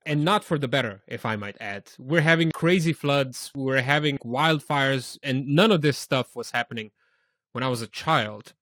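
A man's voice: mid-range voice, normal speed, a bit bright, no room sound. A man from Slovenia interviewing a guest, asking questions in a personal, conversational way.

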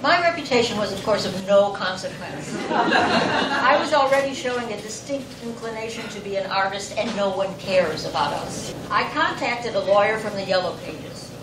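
A woman's voice: mid-range voice, normal speed. An older woman telling a personal story to a live audience with wry, self-mocking humor. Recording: roomy, distant, noisy live recording.

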